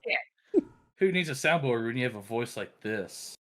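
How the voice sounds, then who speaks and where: A man's voice: mid-range voice, expressive, normal speed, crowd noise. A man speaking casually as a podcast co-host, chatting informally with the others. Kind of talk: casual chat.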